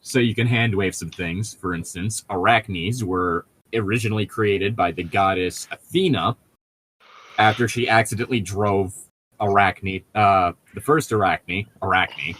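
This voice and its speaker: Low-pitched man in nerdy casual chat. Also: a little slow, fairly bright tone, slight background noise, poor audio.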